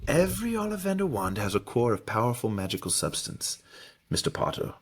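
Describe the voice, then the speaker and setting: A man voicing an eccentric old wandmaker from a storybook, ominous and mysterious, with slow, deliberate, savoring delivery. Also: medium pitch, very animated, husky. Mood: grave, instructive.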